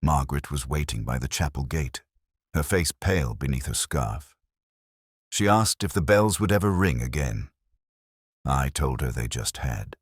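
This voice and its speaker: A man playing a brooding, philosophical police inspector who narrates his memories solemnly, in measured literary phrases, as in a scripted detective audio drama. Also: very deep voice, lively intonation, breathy edge, no echo, clean studio sound, exaggerated voice. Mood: melancholy, reflective.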